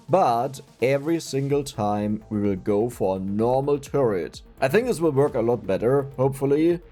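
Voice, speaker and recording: A young German man talks through a game run in a loose, joking way, with a German accent, and he laughs at his own slips. His voice is mellow and a little husky, and his pitch swings a lot. Faint game music plays under him. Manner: chatty, self-mocking, laughs often. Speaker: gaming YouTuber. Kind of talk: casual gameplay commentary.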